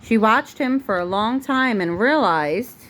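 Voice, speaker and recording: A woman's voice: a little high, animated, unhurried, a bit bright. A woman reading a storybook aloud as a mother does for her young child, recorded at home. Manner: breaks off to answer the child patiently. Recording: home recording with clear background noise.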